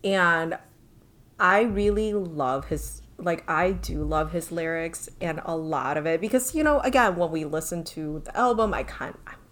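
Woman podcast co-host, lowish voice, unhurried, chatty and reflective, filler-heavy. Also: a bit bright. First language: not Korean. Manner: chatty, thoughtful, many fillers. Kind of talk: casual chat.

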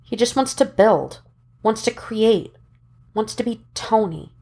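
A woman narrating fan fiction as an audiobook reader, in measured, deliberate phrases with dramatic pauses. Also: a little high, darkish tone.

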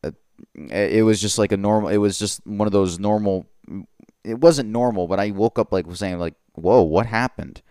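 A man's voice: normal speed, dark tone, clear, resonant tone, no room sound, studio quality. A man hosting his own podcast, talking in a rambling, earnest way and correcting himself often. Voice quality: voice lower than usual today. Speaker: podcast host. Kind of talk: solo podcast monologue.

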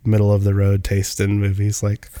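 A man's voice: lowish voice, completely flat delivery, moderate pace, dark tone, no echo. A man in his twenties chatting casually, rambling with lots of "like" and fillers. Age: 20s. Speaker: musician guest. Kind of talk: casual chat.

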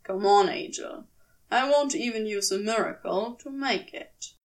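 A woman's voice: medium pitch, unhurried, recorded from a distance, natural voice. A woman voicing a laconic, caring male demon who mutters sleepily in short, casual phrases. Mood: gentle, alert.

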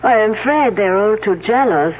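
An actress playing a coy young French student with a French accent in a 1970s radio drama. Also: female voice, mid-range voice, lively intonation, measured pace, slight background noise, lightly acted. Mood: coy, admiring. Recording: narrow, telephone-like old radio broadcast.

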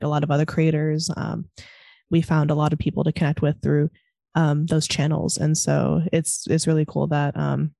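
A woman's voice: low-pitched, creaky, warm tone, no echo, clean studio sound. Californian woman, casual and meandering. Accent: Californian American. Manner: hesitant, meandering, peppered with "like". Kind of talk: casual panel conversation.

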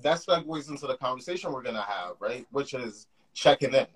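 Young male podcast host, husky tone, eager and loose delivery. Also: medium pitch, animated, fairly quick, low-quality recording. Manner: enthusiastic, talks in loose run-on phrases. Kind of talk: casual conversation. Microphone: call.

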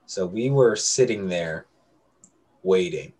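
A man's voice: low voice, expressive, slow, darkish tone, breathy edge. A young adult man telling a story casually to friends, rambling and correcting himself as he goes. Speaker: podcast co-host telling a story.

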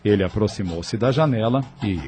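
A man narrating a radio drama in a measured, steady way. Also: on the low side. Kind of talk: scripted narration.

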